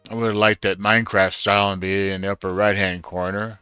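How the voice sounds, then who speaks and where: A man casually narrates his playthrough, musing aloud as he goes. His voice is low and clear, recorded dry with game music behind it. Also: flat delivery, fairly quick, pushed and bright, dry recording, lo-fi sound. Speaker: gaming streamer. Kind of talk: casual first-time playthrough commentary.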